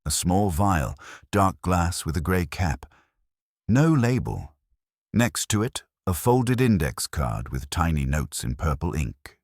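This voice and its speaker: Wry hardboiled male narrator, very deep. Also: very animated, slow pace, no echo, studio quality. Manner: wry, wisecracking. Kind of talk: first-person hardboiled mystery narration.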